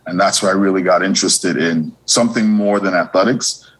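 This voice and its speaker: A man in his forties from Trinidad and Tobago, a university administrator answering interview questions in a measured way with many fillers and restarts. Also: deep voice.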